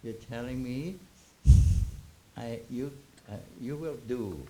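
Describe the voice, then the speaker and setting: A man's voice: mid-range voice, warm tone, slight background noise, rough recording. Chuckling Austrian man in his nineties. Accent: Austrian German. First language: German. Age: 90s. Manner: chuckling, halting storyteller with many restarts. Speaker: interviewee. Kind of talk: reminiscing Q&A.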